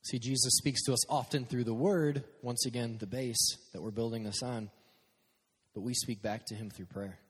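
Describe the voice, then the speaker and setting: A man preaching a casual, energetic sermon to young people on a stage microphone, dropping to quiet prayer at times. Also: medium pitch, moderate pace, slightly rough, big-room sound. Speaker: youth pastor. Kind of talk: youth sermon. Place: church hall with a live sound system.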